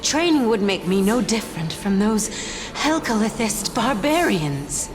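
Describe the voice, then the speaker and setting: An actress playing a haughty, solemn learned sage with a proud, dry delivery in a video game drama. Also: female voice, medium pitch, very animated, a little slow, a crowd in the background. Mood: haughty, grumbling. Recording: game dialogue over prominent music.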